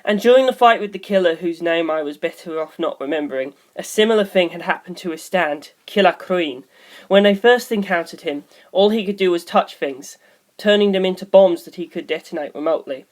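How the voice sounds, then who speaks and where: A woman's voice: lowish voice, a little fast, a little mellow. A woman reading scripted story narration as an audiobook narrator.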